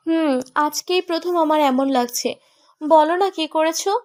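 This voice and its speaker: A very high, closely recorded voice of a young woman in love, played by an actress. She is pouty and teasing, scolding her sweetheart with playful indignation in a romantic audio drama. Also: unhurried, darkish tone, dry recording. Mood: indignant. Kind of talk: romantic audio story acting.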